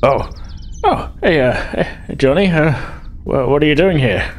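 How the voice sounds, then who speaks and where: A man's voice: fairly high pitch, animated, a little slow, pitched above the performer's own voice. A man playing an awkward young male starship officer in a tabletop game, hesitant and stammering.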